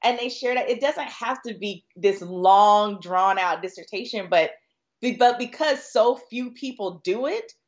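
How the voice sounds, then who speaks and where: A woman's voice: medium pitch, measured pace. Female podcast guest, American, mid-range voice, conversational expert delivery, slightly muffled sound. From Florida, United States. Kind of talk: conversational podcast interview answers.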